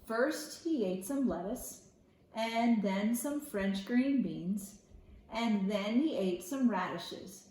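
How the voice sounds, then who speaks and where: A woman reading a children's story aloud expressively, in a storyteller's deliberate way with simple explanatory asides. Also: a little high, animated, dark tone. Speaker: school media specialist reading aloud to children. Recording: echoey room.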